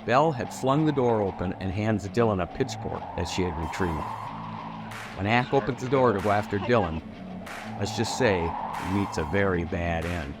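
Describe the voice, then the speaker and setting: A man's voice: low-pitched, normal speed, loud music behind the voice. A man giving a scripted, storytelling narration for a television review video.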